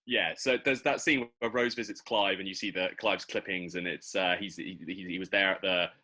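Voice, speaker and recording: A man's voice: low voice, fairly even intonation, normal speed, sharp tone. A man chatting casually and animatedly as a podcast host, like an enthusiastic fan. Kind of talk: casual podcast chat about a TV series.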